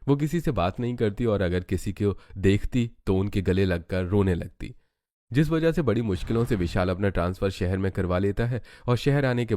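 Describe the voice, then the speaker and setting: A man's voice: low-pitched, warm tone. A man reading scripted narration for a cartoon story as its narrator.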